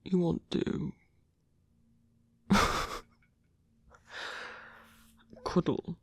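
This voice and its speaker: A man playing a grumpy but tender werewolf lover in human form, speaking hesitantly with sighs and a husky, gravelly voice in an intimate ASMR roleplay. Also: fairly bright tone, dry recording. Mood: bashful, incredulous. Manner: halting, hesitant, sighing, gruffly bashful.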